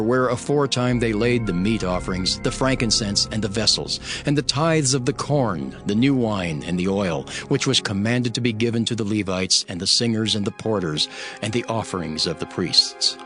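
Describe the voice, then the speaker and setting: A male audiobook narrator with a fairly low voice reads scripture as a dramatized performance. His delivery is solemn and measured, like a formal declamation. Soft music plays behind him. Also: expressive. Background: background music.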